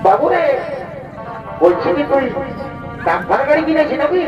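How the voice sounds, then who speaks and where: High-pitched, exclamatory comic village husband played by a man. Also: big pitch swings, moderate pace, highs cut off. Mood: agitated. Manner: loud, exclamatory, repetitive calling out. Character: comic exasperated village husband searching for his wife. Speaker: comic folk stage performer. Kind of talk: comedy Chhau dance stage dialogue in rural dialect. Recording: echoey loudspeaker from far off, crowd, music.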